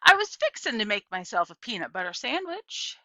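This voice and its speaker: A woman's voice: a little high, sing-song, normal speed, bright, forceful tone, dry recording, dull audio. A woman voicing a kindly, toothless old woman in a storybook, welcoming and folksy in her way of talking. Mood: friendly, surprised. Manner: folksy, rural turns of phrase.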